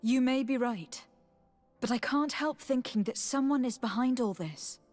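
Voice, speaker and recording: An actress playing an earnest, determined noblewoman diplomat in a video game, speaking in a formal, measured, probing way. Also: a little high, darkish tone, soft background music, natural voice. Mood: concerned, reasoning. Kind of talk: dramatic video game cutscene acting.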